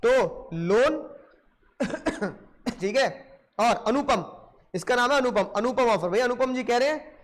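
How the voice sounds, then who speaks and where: Male lecturer, very high-pitched, sore throat, addresses students directly and drives points home through repetition. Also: expressive, takes it slowly, pauses often. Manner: repeats phrases for emphasis, addresses students directly. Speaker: commerce teacher. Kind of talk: animated classroom lecture in Hindi-English mix.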